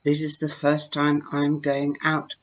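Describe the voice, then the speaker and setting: An older woman with a Dutch accent, talking slowly into her webcam at home and explaining her fortune-telling cards in a chatty, hesitant way. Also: low-pitched, monotone. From Netherlands. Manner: slow, chatty, with many hesitations. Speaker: tarot reader. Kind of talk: live-streamed card reading talk. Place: home room, indoors. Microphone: webcam at desk.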